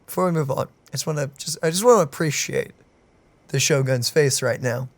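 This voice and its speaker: A man co-hosting a game reaction video, excitable, with quick questions and exclamations. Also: a little high, no echo. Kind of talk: casual reaction chat.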